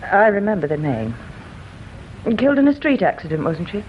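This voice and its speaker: A woman's voice: mid-range voice, big pitch swings, moderate pace, lightly acted. Actress as a haughty Englishwoman, clipped and cool. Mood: icy, defensive. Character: cool, haughty married woman, sharp-tongued and defensive. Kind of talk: British radio drama acting. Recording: dull radio drama recording with hiss.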